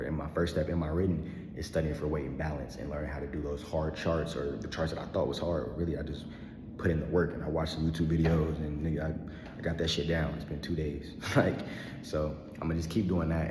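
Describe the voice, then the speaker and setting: A man's voice: extremely low pitch, darkish tone, echoey room, big-room sound. A man of twenty talking casually to the camera in a laid-back, slangy, rambling way, with frequent ums and laughs. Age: 20s. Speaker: vlogger. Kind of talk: casual personal vlog monologue.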